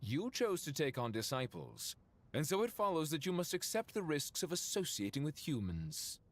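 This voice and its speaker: A man's voice: on the high side, sing-song, normal speed. A man playing a stern, imperious ancient spirit in a video game, speaking in a grand, declamatory way.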